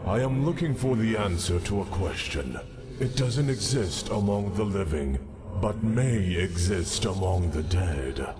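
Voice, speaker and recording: An actor playing a cold, scheming man of mystery in a video game, speaking in a measured, formal and deliberate way. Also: low-pitched, animated, background noise. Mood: cool, commanding. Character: cold, scheming male mystery figure granting dark errands. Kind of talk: scripted video game dialogue. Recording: game music behind the voice. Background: music.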